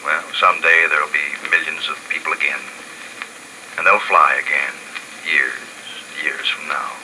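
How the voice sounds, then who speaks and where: A man's voice: rumbling low, steady tone, normal speed, sharp tone, a little hiss or hum, heavily acted, low-quality recording. An actor playing a weary man who leads a handful of plague survivors and narrates their story in an old radio drama. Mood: gentle. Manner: somber, earnest, musing. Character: weary man leading a few plague survivors, grave father. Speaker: narrator and lead character. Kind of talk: 1950s radio drama acting. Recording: old radio broadcast with music behind.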